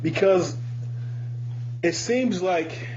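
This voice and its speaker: A man's voice: high-pitched, drawn-out words, darkish tone. A man in his thirties from Michigan talking casually as a podcast co-host, with hesitant, informal, sweary phrasing. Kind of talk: casual chat. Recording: muffled lo-fi audio with background hum.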